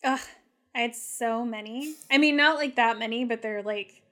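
Woman podcast co-host, fairly high, relaxed and reflective, filler-heavy. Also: normal speed. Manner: thoughtful, many "like" fillers. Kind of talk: casual analytical chat.

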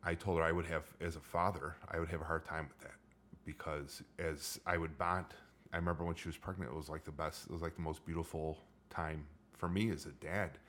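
A middle-aged man with a low voice hosting a casual chat, joking and rambling with many restarts. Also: fairly even intonation, a little fast, fairly bright tone, slight background noise. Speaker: podcast host.

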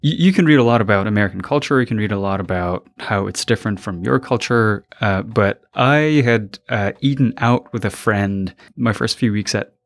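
A young man from Afghanistan with a fairly low voice tells personal stories in a reflective, unhurried way. He stops to search for the right words, then livens up when he retells a scene. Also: soft background music. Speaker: interviewee, college alumnus.

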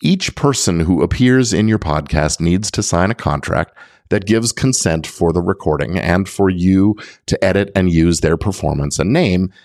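A man's voice: sits low, expressive, normal speed, darkish tone, dry recording, studio quality. A man hosting a podcast, explaining things in a methodical, conversational monologue. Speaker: podcast host giving legal guidance.

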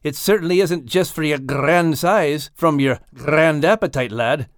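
A man voicing a small, sensible male dog in an audiobook, plain-spoken and a little gruff, with a Scottish accent. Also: fairly high pitch, unhurried, no echo, clean studio sound, natural voice. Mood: amused scolding. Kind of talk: audiobook character acting.

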